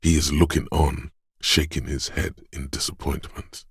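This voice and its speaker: Game inner voice, a grim, brooding sense-voice: extremely low, rough and gravelly, slow, hushed, dry close studio sound. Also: male voice, little pitch movement, no room sound, full character voice. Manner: slow, hushed, lingering on sensory detail. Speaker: voice of a game's inner perception skill. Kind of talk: second-person game narration.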